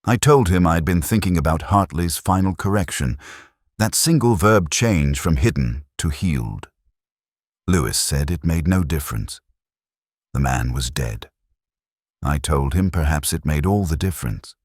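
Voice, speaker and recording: A man playing a reflective, melancholy middle-aged detective, narrating his case in measured, solemn literary prose. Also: very deep voice, lively intonation, no echo, clean studio sound. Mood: brooding, reflective. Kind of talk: literary first-person scripted narration.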